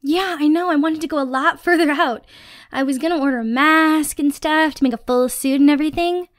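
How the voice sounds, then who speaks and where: A woman's voice: sits high, fairly quick, no room sound, studio quality, natural voice. A woman playing a dorky, affectionate young girlfriend in a casual ASMR roleplay, chatty and teasing as she rambles. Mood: eager, playful. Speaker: roleplay voice actor.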